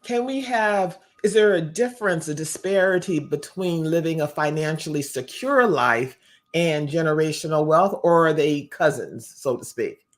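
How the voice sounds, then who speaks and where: A woman hosting an interview, asking reflective questions in a measured, somewhat formal way with careful phrasing. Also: low-pitched, expressive. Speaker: interviewer and host.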